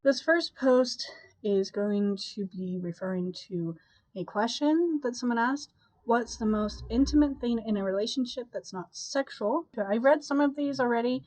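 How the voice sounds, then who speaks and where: A woman's voice: medium pitch, a little mellow, no echo, rough recording. Stuffy-voiced young woman chatting casually. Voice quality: nasal, stuffy. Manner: chatty, rambling, with sighs and laughs. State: congested from a cold. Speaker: vlogger. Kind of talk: casual chat to camera.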